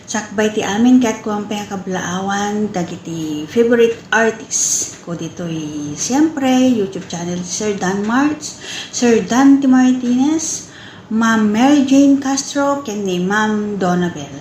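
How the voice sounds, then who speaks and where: A woman with an Ilocano accent reading out shout-out greetings, halting and stumbling over names. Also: mid-range voice. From northern Philippines. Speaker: listener sending shout-out greetings. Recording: echoey room with faint background noise.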